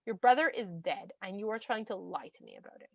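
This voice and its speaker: A woman's voice: medium pitch, sing-song, quick pace, gravelly, breathy edge, no echo, highs cut off, pitched below the performer's own voice, heavily acted. A woman voicing God as a patient, kindly figure who turns firm when scolding. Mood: stern. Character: God, a patient, kindly, then stern parent.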